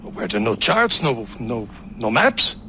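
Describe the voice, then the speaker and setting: An actor playing a shrewd colonial-era ship captain in a video game, formal and measured in his speech. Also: mid-range voice, sing-song, pushed and bright, slight background noise, full character voice. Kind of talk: dramatic video game cutscene acting. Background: music.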